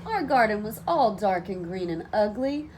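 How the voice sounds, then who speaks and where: Female storybook reader, teacherly and expressive, widely varied pitch, some noise in the recording. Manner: animated, deliberate phrasing for children. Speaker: teacher reading a storybook aloud. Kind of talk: expressive children's storybook read-aloud. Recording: noticeable background noise.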